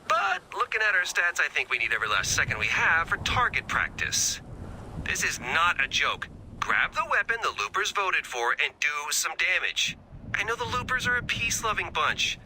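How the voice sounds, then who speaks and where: An actor playing a goofy, earnest resistance squad leader in a video game, coaxing and wry, with emphatic delivery. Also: male voice, fairly high pitch, bright, forceful tone, slight background noise, heavily acted, rough recording. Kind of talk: video game mission dialogue.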